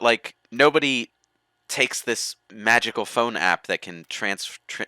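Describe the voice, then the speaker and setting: A man's voice: deep voice, sharp tone, clear, resonant tone, no echo. A man giving opinionated, rambling commentary in a casual group chat over a video game. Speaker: gaming commentator.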